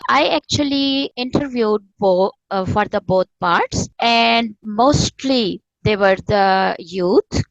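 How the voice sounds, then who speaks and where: A woman's voice: mid-range voice, slow pace, few pauses, no echo, lo-fi sound. A woman, an Urdu speaker, explaining things earnestly and repeating phrases for emphasis as an interview guest. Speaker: interview guest, author and spiritual teacher. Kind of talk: conversational explanation of spirituality.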